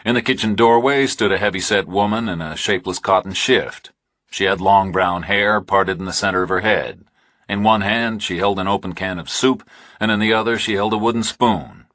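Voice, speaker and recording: Very deep, husky male thriller narrator. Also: normal speed, sharp tone, no echo. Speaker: audiobook narrator.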